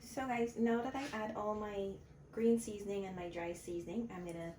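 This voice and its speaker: A woman hosting a cooking channel, explaining each step in a slow, friendly way from her home kitchen. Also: mid-range voice, fairly even intonation, mellow, slight background noise. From Guyana. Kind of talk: casual cooking tutorial. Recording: echoey kitchen with clattering pots.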